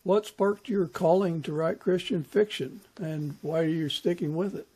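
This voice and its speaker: A man's voice: high voice, moderate pace, dark tone, husky. A man hosting a conversational interview, speaking in a reflective, encouraging way. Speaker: podcast host, interviewer.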